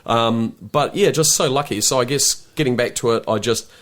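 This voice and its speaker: A man's voice: medium pitch, moderate pace, fairly bright tone. A middle-aged New Zealand man with a New Zealand accent, a film director guesting on a podcast, talking in bursts with many restarts and fillers. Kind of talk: casual podcast interview.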